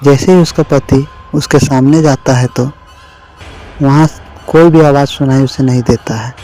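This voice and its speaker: Male horror storyteller, soft dark tone, unhurried, faint music underneath. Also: mid-range voice, fairly even intonation. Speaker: narrator. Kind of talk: scripted horror story narration.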